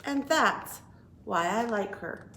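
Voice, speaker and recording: A woman reading a picture book aloud to young children as a children's librarian, expressive and deliberate. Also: mid-range voice, background noise. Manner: expressive, deliberate, asks listeners questions. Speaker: children's librarian and storytime reader.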